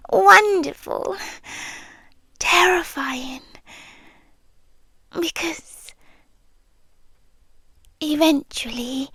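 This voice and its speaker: Slow, whispery British monster girlfriend, played by a woman. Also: high-pitched, a bit bright, no room sound, full character voice. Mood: intense, awed. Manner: slow, breathy, often whispering, intense and tender. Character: possessive, lovestruck female monster girlfriend, yandere. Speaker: ASMR roleplay performer. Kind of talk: ASMR roleplay, intimate dramatic monologue. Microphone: close ASMR microphone, dry.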